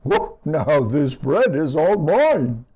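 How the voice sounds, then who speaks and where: A greedy, playful storybook dog, acted out by a man reading aloud. He exclaims with glee, his pitch swooping up high. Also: slow, breathy edge, heavily acted, rough recording. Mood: gleeful. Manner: excited, exclaiming.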